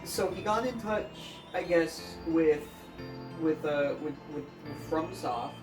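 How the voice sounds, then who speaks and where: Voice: mid-range voice, takes it slowly, music in the background. A podcast co-host introducing a topic in a hesitant way, full of "uh"s and repeated words. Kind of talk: casual podcast chat. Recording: echoey room, far from the mic.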